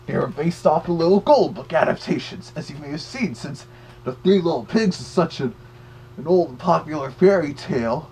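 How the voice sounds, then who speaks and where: A man's voice: on the high side, darkish tone, a little hiss or hum, poor audio. A man voicing a cartoon Big Bad Wolf who gives chatty, slightly hesitant commentary as a record reviewer.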